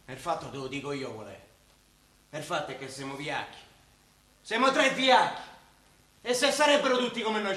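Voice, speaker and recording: An actor playing a restless, argumentative son in an Italian stage drama, bitter, with a Roman accent. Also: male voice, high voice. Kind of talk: Italian stage comedy-drama acting in dialect. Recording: echoey stage recording, faint noise.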